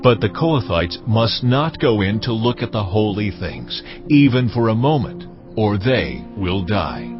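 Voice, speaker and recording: Solemn God, authoritative, measured delivery, audio Bible drama, dull recording, music behind. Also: male voice, on the low side, big pitch swings. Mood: solemn, commanding. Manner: measured, commanding. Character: God giving commands to Moses, solemn and authoritative. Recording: dull studio sound under music. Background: clear background music.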